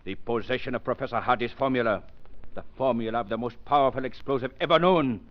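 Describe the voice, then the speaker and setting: An actor playing an imperious, short-tempered villainous spy in a theatrical 1930s radio drama style. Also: male voice, mid-range voice, fast, close to an everyday voice. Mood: cold, commanding. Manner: theatrical, commanding, sneering. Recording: dull, lo-fi 1930s radio recording.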